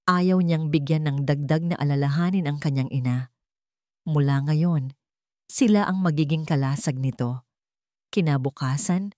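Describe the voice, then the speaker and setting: A woman's voice: low voice, a little mellow, dry recording, clean studio sound. A woman with a Filipino accent reading a dramatic scripted story as its narrator.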